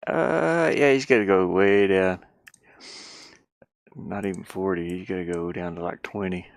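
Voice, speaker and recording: A man's voice: deep voice, a little slow, no room sound. A man giving casual think-aloud commentary as he works on a game, rambling and muttering to himself with repeated words. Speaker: game developer streaming his work.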